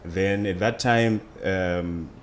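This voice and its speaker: A man's voice: low-pitched, takes it slowly. A man in his twenties with a Zimbabwean accent, telling his story conversationally as an interview guest. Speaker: interview guest, student entrepreneur.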